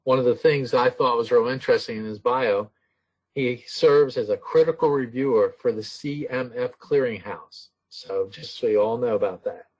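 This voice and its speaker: A man hosting a webinar, giving announcements and introducing the speaker, halting with frequent fillers. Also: mid-range voice, low-quality recording. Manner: halting, with many fillers and restarts. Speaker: webinar host.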